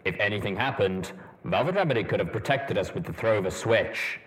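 A man voicing a calm, stern armored male pony who speaks in a level, commanding way, louder than the narration. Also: lowish voice, a little fast, rough recording.

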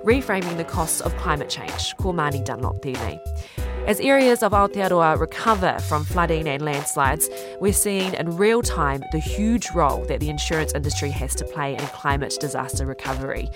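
A New woman opens a podcast, reading a scripted introduction in a measured way. Her voice is fairly low for a woman. Clear music plays underneath her throughout. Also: lively intonation. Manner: measured, read-aloud delivery. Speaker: podcast host.

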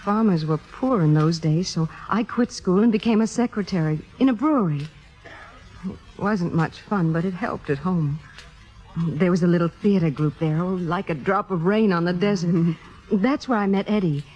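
An actress playing a humble, earnest young American theater devotee in 1950s radio drama, with a hesitant, wistful delivery. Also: female voice, on the low side, normal speed, darkish tone, natural voice. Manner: hesitant, self-effacing, wistful. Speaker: radio actor. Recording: muffled 1950s radio recording, music behind.

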